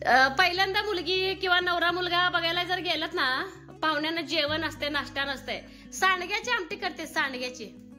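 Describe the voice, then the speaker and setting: A woman's voice: extremely high pitch, normal speed, bright, forceful tone, faint music behind. A woman with a rural Maharashtrian Marathi accent, chatting casually as she narrates a home cooking vlog. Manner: chatty, conversational. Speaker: family vlog host.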